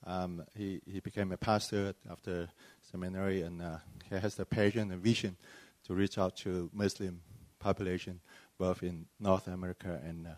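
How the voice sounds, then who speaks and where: Pastor from Malaysia, male, deep-pitched, hesitant phrasing, giving testimony at a panel, dry recording. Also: level tone, moderate pace, hardly any pauses, darkish tone, dry recording. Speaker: church planting pastor. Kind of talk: personal testimony at a panel discussion.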